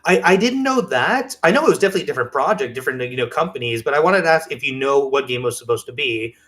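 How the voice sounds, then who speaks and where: A man's voice: mid-range voice, animated, racing, fairly bright tone. A man chatting casually as a podcast co-host, telling things in an enthusiastic, rambling way. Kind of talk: casual chat.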